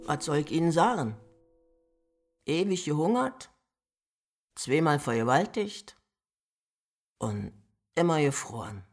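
Slow-talking old Berlin mother. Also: deep voice, sing-song, faint music behind, heavily acted. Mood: weary, resigned. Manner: plain-spoken, slow colloquial muttering and coaxing. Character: weary old Berlin mother, poor, coaxing her grown son. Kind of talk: radio play character acting.

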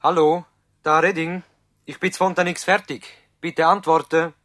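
A man's voice: on the high side, level tone, moderate pace, a bit bright. An actor playing a busy country doctor on his rounds in a radio drama, terse and businesslike, speaking Swiss German-accented lines over a two-way radio. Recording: noisy, clicking radio link.

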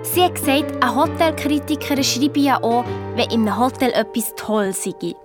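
A woman's voice: high voice, animated, normal speed, over music. An actress playing a sensible, sceptical young girl with a Swiss German accent, telling her story in an audio drama. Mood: earnest. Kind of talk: colloquial first-person storytelling in an audio drama.

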